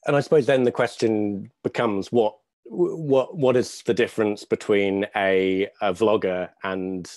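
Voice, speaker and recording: A man in his thirties speaking on a video-call panel, thinking aloud in a hesitant, halting way with searching pauses. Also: on the low side, a little mellow, no echo. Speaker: theatre maker, panelist. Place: small dim room at home, video call. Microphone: wired earphone mic on video call.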